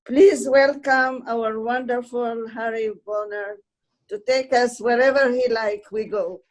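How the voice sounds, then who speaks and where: A woman hosting an online talk, giving a deliberate, ceremonious welcome as programme coordinator. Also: fairly high pitch, fairly even intonation, low-quality recording. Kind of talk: formal welcome speech on a video call. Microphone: video call.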